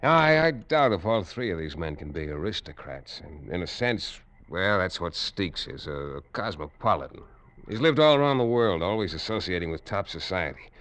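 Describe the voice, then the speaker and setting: An actor playing a calm, methodical American detective in old radio drama style, measured, probing and politely businesslike. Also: male voice, low voice, full character voice. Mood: measured, explanatory. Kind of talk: 1940s-50s radio drama acting.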